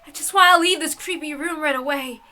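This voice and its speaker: A woman giving a dramatic, theatrical narrated reading of a story in an expressive manner. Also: high voice, a little fast. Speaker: reader of a visual novel.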